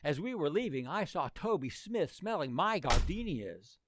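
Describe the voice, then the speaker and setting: A man's voice: a little high, very animated, normal speed, noisy background. A man playing a reluctant, self-serving teenage buddy in old-time radio comedy, glib and evasive.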